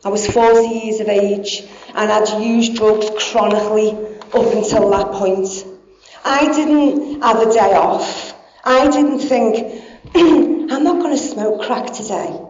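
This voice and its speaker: A woman's voice: a little high, slow, a little mellow. A woman, giving a candid, blunt personal recovery talk with plenty of swearing. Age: 40s. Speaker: speaker in recovery. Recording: echoey dull hall sound.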